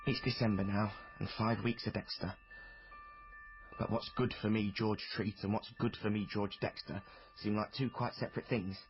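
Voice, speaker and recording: A man's voice: on the low side, flat delivery, moderate pace, dull audio, over music, natural voice. An actor playing a polite, earnest young man who narrates his own story in a period radio drama, confiding and courteous. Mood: reflective.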